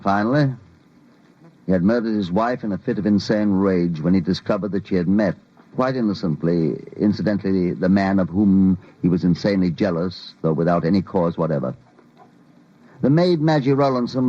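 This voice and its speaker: An actor playing a stern, methodical police detective, speaking formally and deliberately in a 1950s radio drama. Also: male voice, deep voice. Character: stern, methodical male Scotland Yard detective narrator. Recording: muffled vintage radio drama recording.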